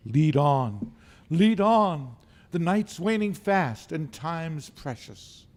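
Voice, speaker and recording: A man playing an anxious, repentant old miser in a radio drama, pleading in a halting, dramatic way. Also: a little high, very animated, a little mellow. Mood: fearful, resolved.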